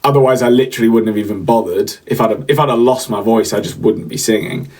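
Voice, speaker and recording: A man's voice: on the low side, quick pace, darkish tone. A young man hosting a casual livestream, chatting to viewers in a rambling way with lots of fillers and repeated words. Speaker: livestream host.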